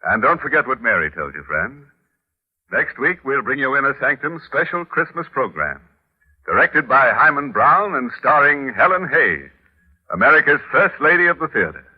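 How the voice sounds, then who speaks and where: An actor playing a gleefully morbid, mocking horror host on a 1940s radio show, theatrical and sardonic, on an old recording. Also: male voice, medium pitch, sing-song, normal speed, breathy edge. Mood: jovial, macabre. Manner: sardonic, theatrical, macabre humour. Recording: muffled vintage radio recording.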